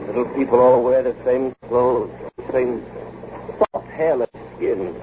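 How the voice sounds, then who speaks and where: An actor playing a confident but excitable gentleman inventor, with a clipped, urgent, theatrical delivery from vintage radio drama. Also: mid-range voice, measured pace, natural voice. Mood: grave, knowing. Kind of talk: 1940s-50s radio drama acting. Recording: dull, noisy vintage radio recording.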